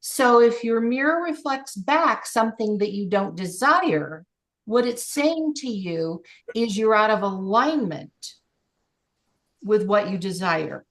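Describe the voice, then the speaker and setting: A woman coach leading a group call, speaking in an encouraging, emphatic way. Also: a little high.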